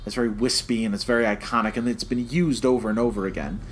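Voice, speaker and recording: A man's voice: medium pitch, fairly even intonation, fast. Emphatic man reviewing, noisy recording. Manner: emphatic, repeats phrases for stress, checks with "okay?". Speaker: podcast co-host. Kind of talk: opinionated review discussion.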